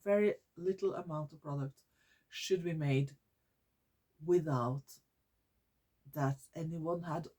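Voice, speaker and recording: Slow-talking Norwegian woman vlogger. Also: sits low, dark tone. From Norway. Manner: searches for English words, repeats and restarts. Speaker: YouTube channel host. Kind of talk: casual vlog monologue.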